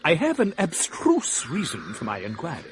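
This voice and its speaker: An actor playing a pompous, wordy gentleman scholar in a radio drama. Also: on the high side, wildly swooping pitch, normal speed, a little hiss or hum. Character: learned, eccentric gentleman scholar, pompous and curious. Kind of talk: 1980s radio drama acting.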